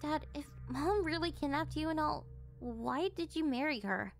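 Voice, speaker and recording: A woman's voice: sits high, lively intonation, normal speed, creaky, faint music behind. A woman voicing an exasperated, easily embarrassed teenage daughter in an anime-style audio roleplay, protesting emphatically. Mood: exasperated disbelief.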